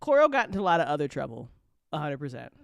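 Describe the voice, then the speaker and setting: A woman chatting casually and unscripted on an after-show, telling stories in a rambling, animated way with slangy swearing. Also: sits low, fast talker, vocal fry, dry recording, studio quality. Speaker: audio drama creator chatting on a live after-show.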